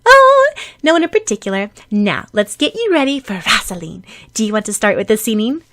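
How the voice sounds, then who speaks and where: A woman's voice: medium pitch, very animated, a little fast, fairly bright tone, a little hiss or hum. A woman voicing a sweet, helpful schoolgirl with a soothing, innocently teasing manner in an audiobook story. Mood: cheerful.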